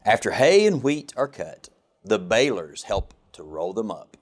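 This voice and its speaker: Slow, lively low-voiced man reading a storybook. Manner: expressive, sing-song rhyming delivery. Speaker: government official reading a children's picture book. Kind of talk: storybook read-aloud.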